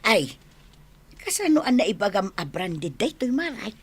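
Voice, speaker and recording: A woman playing a lively, thrifty married village woman in an Ilocano radio comedy, expressive and full of exclamations, with an Ilocano accent. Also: medium pitch, measured pace, husky. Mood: animated, friendly. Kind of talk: Filipino radio comedy drama acting.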